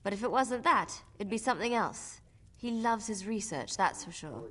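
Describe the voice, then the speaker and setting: A woman playing an earnest, expressive helper in a fantasy video game, with a conversational delivery. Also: medium pitch, normal speed, lightly acted. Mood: fondly exasperated. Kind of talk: scripted video game dialogue.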